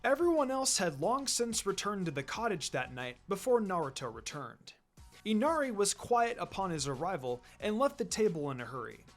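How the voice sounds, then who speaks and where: Male story narrator, fairly high voice, lively intonation, music bed. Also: moderate pace. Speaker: fan fiction reader. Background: background music.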